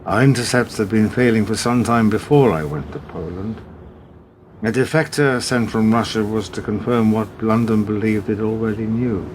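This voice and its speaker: An actor in a radio play, playing a calm, coldly measured British spy who confronts a traitor colleague in a composed, deliberate way. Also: male voice, fairly low pitch, a little hiss or hum, lightly acted.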